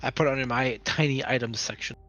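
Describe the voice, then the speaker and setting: A man plays a tabletop roleplaying game, talking casually at the table. His voice is medium in pitch, with a sharp, bright tone. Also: fairly even intonation, fast, highs cut off, faint music behind.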